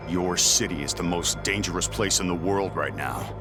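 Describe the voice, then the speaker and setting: Actor as a low-voiced, blunt brooding warrior. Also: male voice, moderate pace, lightly acted. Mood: grim. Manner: curt, hard-edged, blunt. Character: gruff, blunt, brooding male warrior leader. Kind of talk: dramatic game acting. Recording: loud dramatic music behind.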